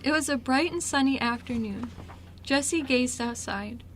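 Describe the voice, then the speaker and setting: A woman's voice: high-pitched, moderate pace. A young woman reading scripted narration for an amateur radio play. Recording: faint background noise.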